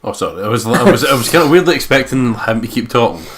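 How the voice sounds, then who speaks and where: A man's voice: mid-range voice, sing-song, fast, a bit bright, a little hiss or hum. A man reading visual novel narration aloud and giving casual, sometimes profane commentary as a let's play co-host. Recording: music clearly behind the voice.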